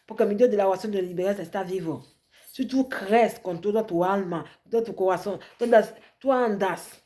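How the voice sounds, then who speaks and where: A woman preacher delivers a prophetic sermon, repeating her declarations with rising fervor and calling on listeners for amens. Her voice is mellow and dark, pitched in the middle range for a woman. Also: moderate pace. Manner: fervent, repetitive declarations with call-and-response. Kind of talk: prophetic sermon, French then Spanish.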